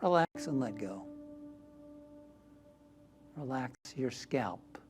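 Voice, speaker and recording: Slow-paced man guiding a meditation. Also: medium pitch, expressive. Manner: gentle, soothing, drifting into near-whispers. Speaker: minister leading guided meditation. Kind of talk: guided meditation.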